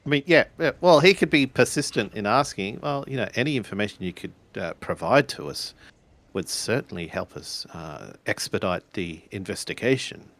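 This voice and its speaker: A man playing a tabletop roleplaying game, improvising casually with halting phrasing. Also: lowish voice, animated, a bit bright.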